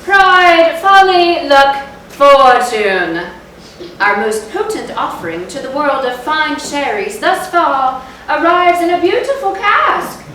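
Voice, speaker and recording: An actress puts on a grandiose saleswoman with silky, vengeful menace for a staged parody commercial. Her voice is high and florid. It carries from a distance across a strongly echoing hall. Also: lively intonation, slow, pushed and bright, heavily acted. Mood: grandly alluring. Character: grandiose, seductive sherry pitchwoman with vengeful menace. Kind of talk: parody advert in live readers' theatre. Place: echoey indoor theatre, live stage reading. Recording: very echoey hall, distant mic, faint noise.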